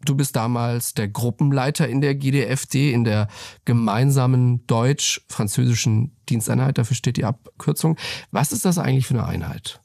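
A man's voice: mid-range voice, normal speed, vocal fry, a little mellow, no room sound. A man hosting a studio interview, who puts long questions that set up the topic before asking. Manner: asks long, framing questions. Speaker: interview host.

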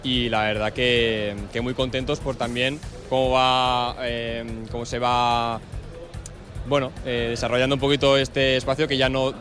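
Flat-voiced male event spokesman, hesitant. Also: medium pitch, unhurried, faint music behind. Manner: hesitant, lots of fillers and restarts. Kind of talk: interview soundbite.

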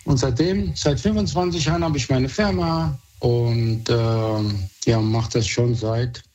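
An older Italian-born man calls in to a radio show over a muffled phone line. His voice is slightly husky and he speaks haltingly, with many fillers. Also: mid-range voice, steady tone, darkish tone. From Sicily, Italy. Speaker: caller.

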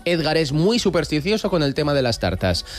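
A man hosts a casual talk show in Spanish as spoken in Spain. His voice sits somewhat high for a man. He chats informally with the people around him. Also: big pitch swings, fairly quick, faint music behind. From Spain, Basque Country. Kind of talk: casual on-air chat.

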